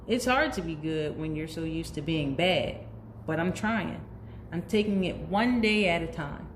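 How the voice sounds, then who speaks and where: A woman's voice: sits low, animated, measured pace. A woman voicing a moody but earnest bad seed in a children's storybook, telling his story confessionally and dramatically. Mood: earnest, hopeful. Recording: roomy echo, faint background noise.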